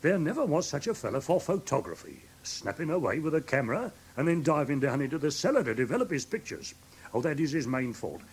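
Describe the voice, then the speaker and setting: An English actor playing a naive, self-important pawnbroker in a classic radio drama, flustered and full of exclamations, with a rough husky voice. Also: male voice, on the high side, sing-song, fast. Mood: rambling, earnest. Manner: flustered, hesitant, emphatic exclamations. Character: excitable, self-important small-business pawnbroker, naive working man.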